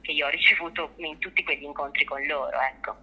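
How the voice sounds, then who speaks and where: A woman's voice: sits low, fairly even intonation, quick pace, bright, forceful tone, slightly rough, low-quality recording. A woman giving reflective, explanatory interview answers, repeating phrases as she works through her thought. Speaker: theatre artist being interviewed.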